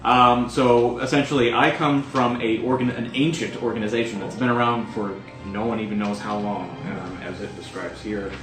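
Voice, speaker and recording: A man playing a tabletop game, casually improvising a character description in a halting, rambling way. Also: mid-range voice, fairly even intonation. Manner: halting, rambling, filler-heavy. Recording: echoey room, music behind.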